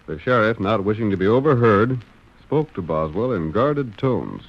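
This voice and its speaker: A man's voice: on the low side, big pitch swings, moderate pace. A man narrating an old radio western as a dramatic scripted storyteller. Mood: urgent, hushed drama. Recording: dull vintage radio recording.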